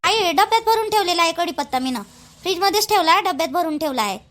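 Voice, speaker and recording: A woman's voice: extremely high pitch, animated, quick pace, fairly bright tone. A woman with a Vidarbha Marathi accent playing a dutiful young newlywed daughter-in-law in a village cartoon, chatty, rustic and deferential. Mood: dutiful, helpful.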